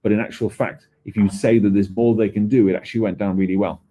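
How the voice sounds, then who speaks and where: A man presents his research at a conference, in a fairly low voice with a mellow, soft tone. His delivery is conversational and unhurried, full of ums and small restarts as he walks through his points. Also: steady tone. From Wales.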